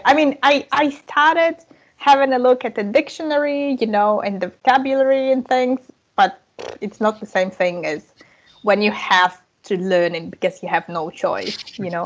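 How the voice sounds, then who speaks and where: A woman's voice: mid-range voice, moderate pace, dull audio. A woman in her thirties from southern Ukraine, a native speaker of Russian and Ukrainian, talking informally as an interview guest over a video call. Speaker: podcast guest, language coach. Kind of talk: casual interview chat. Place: indoor room, video call. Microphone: earphone headset on a video call.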